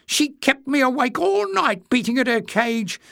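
A man voicing a whining, cowardly little schemer in a shrill, squeaky, spluttering storybook voice. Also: very animated, a little slow, gravelly, fairly bright tone, no room sound, clean studio sound, heavily acted. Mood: sullen complaining. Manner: spluttering, whining, melodramatic pleading. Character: whining, cowardly small male schemer, screechy and wheedling. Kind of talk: acted character voice in audiobook narration.

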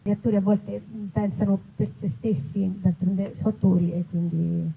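Female interviewee, conversational and thoughtful, mid-pitched voice, slightly noisy live recording. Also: steady tone, moderate pace, creaky, dark tone, dull audio. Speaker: writer and actress, interviewee. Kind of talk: casual spoken interview before an audience. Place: live festival talk in an olive grove.

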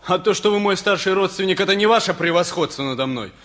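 An actor playing a teenage nephew and new student in a radio comedy, measured, bookish and ironically formal. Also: male voice, sits high, fairly bright tone. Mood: insolent, ironic. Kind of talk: Russian radio comedy play acting.

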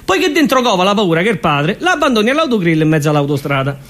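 A man, a comic stage performer delivering scripted storytelling in a deadpan, emphatic and repetitive way. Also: high voice, fast.

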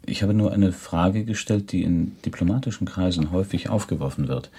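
Very deep, husky reporter. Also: male voice, a little fast, dark tone. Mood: courteous, insistent. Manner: formal, courteous, probing. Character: polite, persistent German reporter conducting interviews. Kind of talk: 1970s German radio play acting.

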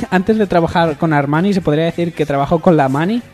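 A man from co-hosts comedy radio. His voice sits slightly high, and he banters in a deadpan manner over quiet music. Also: fairly quick. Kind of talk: casual comic banter.

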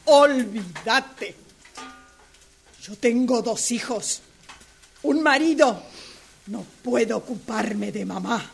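A woman's voice: on the high side, slow pace, vocal fry, pauses often. A woman with an Argentine accent playing a stern, reproachful married sister in an amateur radio drama, blunt and scolding.

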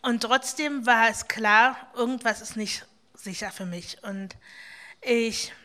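A woman from East Germany giving a personal account on a panel, reflective and halting, with many fillers and restarts. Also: fairly high pitch, sharp tone. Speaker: panel speaker.

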